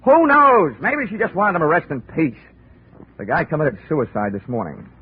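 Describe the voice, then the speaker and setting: An actor playing a cynical, wisecracking insurance investigator, cool under pressure, in 1940s radio detective style. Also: male voice, on the high side, animated, normal speed, breathy edge. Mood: wry, defensive. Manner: wry, hard-boiled. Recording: noisy, tinny 1940s radio recording.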